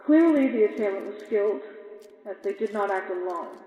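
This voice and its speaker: A woman playing an evasive government spokesperson in an audio drama, formal and measured. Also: medium pitch, expressive, phone-quality sound, close to an everyday voice. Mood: official, guarded. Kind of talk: formal press statement in a fan audio drama. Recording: echoey hall, distant mic, crowd.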